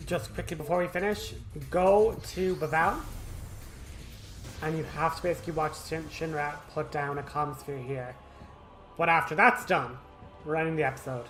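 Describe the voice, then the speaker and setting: A woman's voice: sits low, normal speed, a bit bright. A woman hosting a game walkthrough, chatting informally and speaking straight to her viewers. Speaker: gaming video host. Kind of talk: casual game walkthrough commentary. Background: video game music.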